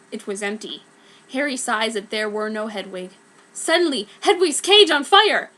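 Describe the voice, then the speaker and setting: A woman's voice: on the high side, a bit bright, a little hiss or hum, rough recording. A woman narrating a piece of fan fiction as a theatrical, emphatic dramatic reading.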